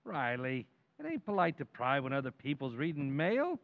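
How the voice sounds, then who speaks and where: A man's voice: medium pitch, huge pitch swings, moderate pace. A man playing a nosy, wisecracking working-class neighbour in an old-time radio comedy, brash and slangy. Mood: brash, needling. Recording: dull, narrow-band sound.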